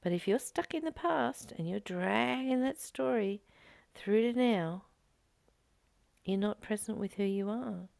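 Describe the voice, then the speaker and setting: A woman reading a spiritual text slowly and meditatively, adding her own comments and dropping into soft whispers to stress a point. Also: mid-range voice, gravelly, no room sound.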